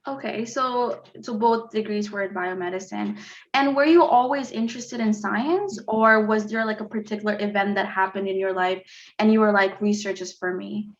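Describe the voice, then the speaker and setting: A woman's voice: mid-range voice, normal speed, dull audio. A woman hosting an interview, asking questions and giving short acknowledgments. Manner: short back-channel replies. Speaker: host, interviewer.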